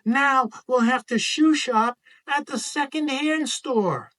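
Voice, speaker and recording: A man's voice: very high-pitched, takes it slowly, fairly bright tone, pitched above the performer's own voice, heavily acted. A man voicing an earnest, protesting six-year-old boy for a picture book read-aloud. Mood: complaining.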